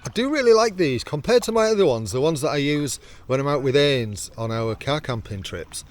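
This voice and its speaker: A man's voice: a little high, animated, fairly quick. A British man chatting casually to camera as a vlogger testing gear, joking in a chummy way with friends. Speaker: vlogger presenting a product test. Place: outdoors on a canal, in a kayak. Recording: clear outdoor water and boat noise.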